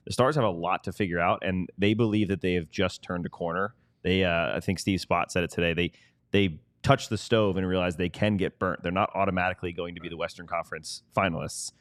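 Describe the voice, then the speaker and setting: A man's voice: deep voice, no room sound, studio quality. A man co-hosting a sports show, talking casually about the games. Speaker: sports talk show co-host.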